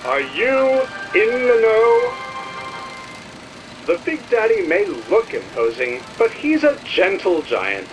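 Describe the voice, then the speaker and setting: An actor playing a chirpy retro promotional film announcer with a booming, folksy showman delivery. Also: wildly swooping pitch, slow pace, over music, full character voice, low-quality recording. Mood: peppy salesmanship. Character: chirpy retro newsreel announcer for an underwater city. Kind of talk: 1950s promotional film narration.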